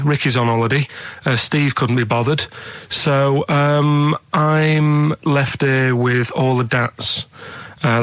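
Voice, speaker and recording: A man's voice: medium pitch, fairly bright tone, no room sound. A Northern English man from the radio producer's chair, with a Manchester accent. He talks in a casual, earnest ramble, stating things plainly into a studio microphone. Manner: earnest, rambling, matter-of-fact.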